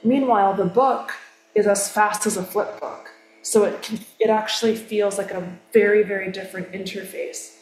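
A woman describes her creative project thoughtfully, with frequent "like" and "um". She speaks at a medium pitch. The room is echoey, with a faint hiss. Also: fairly even intonation, normal speed. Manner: reflective, with "like" and "um". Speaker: artist and designer. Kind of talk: talk about her project.

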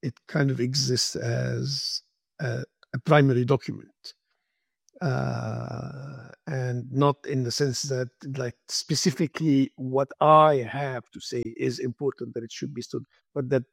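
A man's voice: medium pitch, creaky, no echo. A man interviewing a guest, talking thoughtfully with stumbling restarts. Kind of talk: conversational interview.

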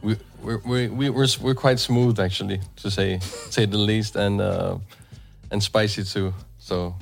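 Chill deep-voiced dj in his 30s, easygoing radio chat, lots of laughs and restarts, faint music behind. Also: a little slow, gravelly. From Denmark, Filipino family. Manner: relaxed, laughs often, stumbling restarts. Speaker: DJ and event promoter. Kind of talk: casual radio interview.

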